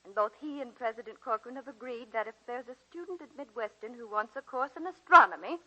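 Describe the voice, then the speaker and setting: A woman playing a proper, kindly young schoolteacher with an expressive, slightly prim delivery, in a vintage 1940s radio drama. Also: on the high side, fast talker, husky, exaggerated voice, low-quality recording.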